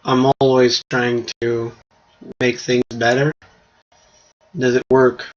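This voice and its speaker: Slow-talking French-native man, lo-fi. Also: mid-range voice, completely flat delivery. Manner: hesitant, thinking aloud with restarts. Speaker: podcast host. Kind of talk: casual solo podcast talk. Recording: dull lo-fi stream audio with faint noise.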